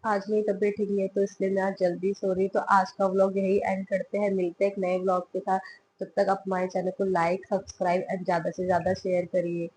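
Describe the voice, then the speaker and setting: A young woman, a mother, chatting to the camera at home in a casual family vlog and coaxing her toddler, her voice hoarse from a cold. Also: mid-range voice, monotone, fast talker, a little mellow, a little hiss or hum, poor audio. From Himalayan hill region of India.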